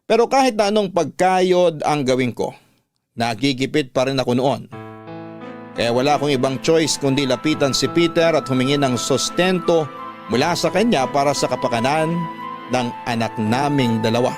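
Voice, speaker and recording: A man's voice: mid-range voice, normal speed, over music, lightly acted. A man narrating a radio drama in the first person as a betrayed single mother, with sorrowful, dramatic storytelling. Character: betrayed single mother recounting her own hardship.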